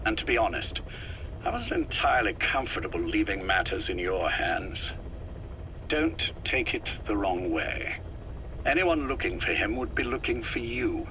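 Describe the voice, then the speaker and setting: An actor playing an ailing, calculating older intelligence chief in a video game, speaking in a measured, deliberate and politely menacing way. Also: male voice, low voice, sharp tone, a little hiss or hum, full character voice, low-quality recording. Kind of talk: scripted game dialogue, dramatic acting.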